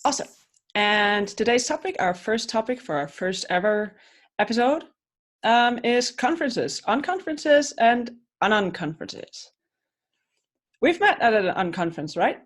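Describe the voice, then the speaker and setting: A woman's voice: fairly high pitch, animated, measured pace. Woman from Switzerland, thirties, podcast co-host, chatty storytelling, casual conversation. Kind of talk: casual chat.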